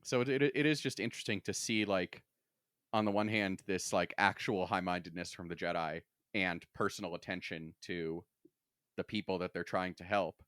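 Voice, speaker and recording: Guy on a podcast just chatting, lowish voice, says like a lot, really dry sound. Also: normal speed, fairly bright tone, smooth, no room sound. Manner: casual, peppered with "like". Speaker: podcast co-host. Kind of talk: casual book discussion chat.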